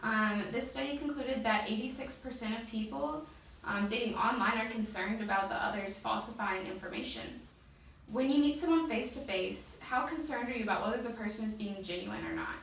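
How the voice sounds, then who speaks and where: A woman's voice: a little high, brisk, fairly bright tone. A woman student interviewer reading out research findings and putting questions in an interview. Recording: very echoey room, far mic, faint noise.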